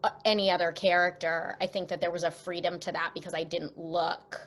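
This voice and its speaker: A woman chatting casually as an interview guest, expressive and jokey. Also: fairly low pitch, a little fast. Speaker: voice actress guest.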